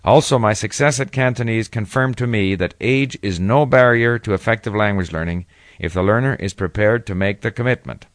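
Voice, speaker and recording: An older man reads his own memoir in a measured, reflective way. His voice sits fairly low, and the recording is dry and close, with no room sound. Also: no room sound. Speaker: author reading his memoir. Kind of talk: first-person read narration.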